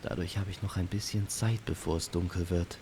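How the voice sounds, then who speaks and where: A man's voice: sits low, fairly even intonation, a little fast, darkish tone, a little hiss or hum. A man voicing a curious, puzzled wanderer in a fantasy audio drama, musing and questioning aloud to himself. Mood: musing, wistful. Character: curious, puzzled male wanderer in a misty forest.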